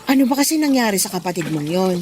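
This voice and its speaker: A woman's voice: medium pitch, expressive, quick pace, background noise, lightly acted. A woman with a Filipino accent playing a blunt, caring older co-worker in a radio drama, prodding and exclaiming as she talks. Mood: curious. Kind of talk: Tagalog radio drama acting. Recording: music bed under the dialogue.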